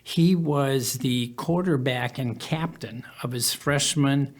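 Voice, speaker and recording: Male guest, middle-aged or older, average pitch, measured and halting, recounting personal history. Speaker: podcast guest, author. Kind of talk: personal storytelling in an interview.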